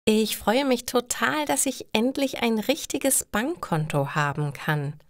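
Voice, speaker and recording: A woman voicing an eager, polite eighteen-year-old daughter in slow, simple storybook dialogue. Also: fairly high pitch, big pitch swings, dry recording, studio quality, pitched above the performer's own voice.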